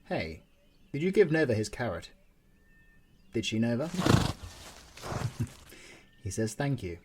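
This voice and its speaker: A British man playing an earnest, protective demon hunter in a fantasy audio roleplay, speaking in an animated, natural and familiar way. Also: medium pitch, takes it slowly, heavy background noise. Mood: friendly teasing.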